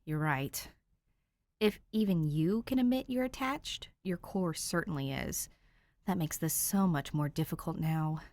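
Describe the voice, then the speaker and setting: A woman's voice: low-pitched, measured pace, no echo. A woman voicing an outraged teenage boy. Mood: earnest. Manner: outraged, shouty. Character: teenage boy, protective big brother, excitable.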